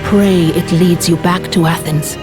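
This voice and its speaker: A woman's voice: fairly low pitch, steady tone, a little slow, music in the background, natural voice. An actress playing a solemn goddess who gives a warrior his orders in a video game cutscene. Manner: solemn, instructive.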